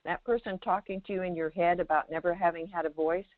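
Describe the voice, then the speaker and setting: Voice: medium pitch, steady tone, fast, no room sound. A teacher guiding a caller in conversation, speaking emphatically, repeating phrases and checking in with short questions, over a phone line. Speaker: host, meditation teacher answering a caller. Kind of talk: spiritual guidance in a call-in conversation. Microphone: phone call. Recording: narrowband phone line sound.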